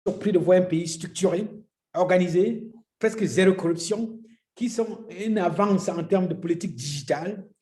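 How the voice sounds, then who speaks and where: A man from Cameroon speaking on a panel in an upbeat, energetic way. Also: high-pitched, moderate pace. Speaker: entrepreneur, investor. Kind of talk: panel talk. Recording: roomy echo.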